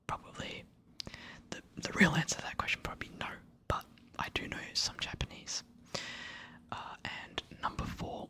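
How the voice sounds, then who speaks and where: An ASMR creator whispering answers to subscriber questions in a soft, hesitant, apologetic way.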